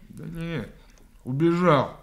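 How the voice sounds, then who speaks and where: An actor playing a naive, good-hearted young policeman in a radio drama, plaintive and halting as he repeats himself. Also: a little high, creaky. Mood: aggrieved.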